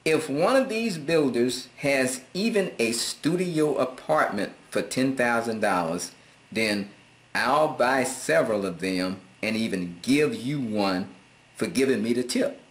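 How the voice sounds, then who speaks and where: A man's voice: medium pitch, lively intonation, unhurried. A middle-aged American man reading viewer comments aloud and answering them in a laid-back, amused and sarcastic way. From United States. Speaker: vlogger.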